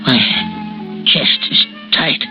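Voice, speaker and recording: An actor playing a grieving, muddled and vengeful widower in a radio suspense play, speaking in a halting, rambling, folksy way. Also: male voice, over music, close to an everyday voice. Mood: confused, gasping. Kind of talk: 1960s radio suspense drama acting. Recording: dull 1960s radio broadcast recording.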